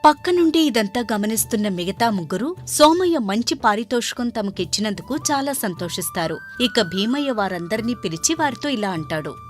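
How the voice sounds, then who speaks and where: A woman's voice: a little high, sing-song, moderate pace, few pauses, soft background music. A woman reading a scripted moral story as its narrator.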